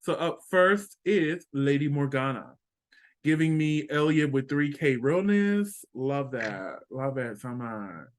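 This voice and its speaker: A man's voice: mid-range voice, expressive. A sassy, playful man with a Texan accent, co-hosting a casual review chat. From Texas. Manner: sassy, playful, drawn-out emphasis. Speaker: co-host.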